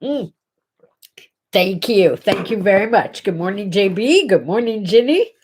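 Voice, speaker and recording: A woman's voice: medium pitch, animated, a little slow, darkish tone, slightly rough. A middle-aged woman with a congested, nasal voice, hosting a casual morning livestream chat from her home and chatting directly to viewers. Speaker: host. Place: home bedroom.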